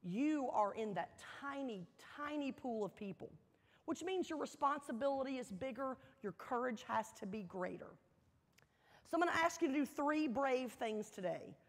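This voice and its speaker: A woman with a fairly high voice delivers a motivational speech in a large, reverberant hall. She tells her story earnestly, leaning on key words and pausing for effect. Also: gravelly. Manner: earnest, emphatic, dramatic pauses and repetition. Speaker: motivational speaker at a university welcome event. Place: large indoor hall, addressing an audience.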